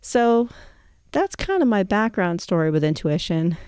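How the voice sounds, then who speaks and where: A woman in her fifties speaks as the host of a personal storytelling show. Her pitch is average, and her delivery is reflective and conversational. The recording is dry, with very little room sound. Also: big pitch swings, a little slow, a little mellow, clear, resonant tone, no echo. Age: 50s.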